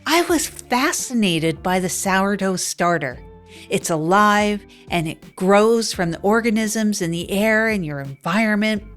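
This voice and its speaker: A woman's voice: mid-range voice, close to an everyday voice. A woman narrating as a wry down-and-out woman. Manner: deadpan, rambling, self-deprecating asides. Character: wry down-and-out woman, self-mocking. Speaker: narrator of the show. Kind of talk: improvised comic first-person narration.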